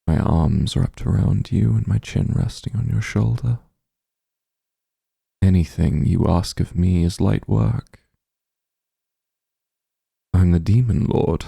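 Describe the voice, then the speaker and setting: Doting demon lord, played by a man, tender comforting ASMR roleplay, heavy vocal fry, close dry sound. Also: no echo, exaggerated voice. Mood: doting, devoted. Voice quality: low, gravelly, husky. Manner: soothing, tender, gently coaxing. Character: doting demon lord, shapeshifted into soft strong form.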